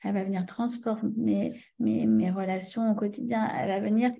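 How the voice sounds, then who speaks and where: A woman's voice: medium pitch, steady tone, moderate pace, creaky, warm tone, husky. A woman with a French accent teaching a religious class, chatty and full of fillers and restarts, often checking in with her listeners. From France.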